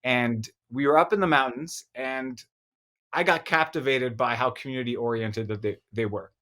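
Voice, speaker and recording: Thoughtful Egyptian-born man, halting speech. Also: mid-range voice, bright, forceful tone. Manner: thoughtful, many fillers and restarts. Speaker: filmmaker guest. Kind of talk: casual interview conversation.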